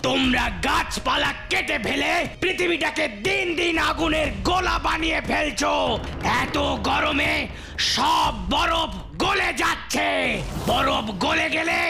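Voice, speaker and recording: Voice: high voice, slow, creaky, full character voice. An actor voicing a menacing bear chief who hates humans in a children's cartoon, in a threatening, declamatory manner. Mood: furious, threatening. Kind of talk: animated children's cartoon dubbing. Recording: loud background music.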